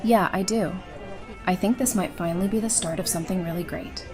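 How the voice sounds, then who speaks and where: A woman playing a warm, blunt and teasing young mother in a conversational audio drama. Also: fairly low pitch, brisk, over music, lightly acted. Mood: friendly banter.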